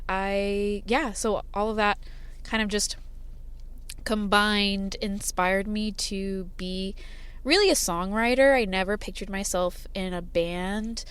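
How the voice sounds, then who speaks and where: A woman whose first language was sign language talks easily in a parked car, her voice smooth and clear, at a middle pitch. She rambles loosely through her story, full of "um" and "like" and quick laughs. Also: a bit bright. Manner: chatty, rambling, many fillers and laughs. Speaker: band singer-songwriter, guest. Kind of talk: casual interview chat.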